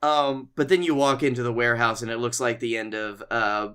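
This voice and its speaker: A man co-hosting a comedy podcast, telling casual stories in an animated, playfully exaggerated way. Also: medium pitch, a little fast, clean tone. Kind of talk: casual comic storytelling.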